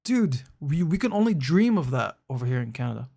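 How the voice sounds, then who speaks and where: Young guy streamer, kinda high voice, chill and chatty, says bro a lot, trips over his words. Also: big pitch swings, a little fast, gravelly, warm tone. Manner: laid-back, slangy, calls chatters bro; thinks aloud with frequent restarts. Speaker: live streamer. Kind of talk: casual live-stream chat answering viewers.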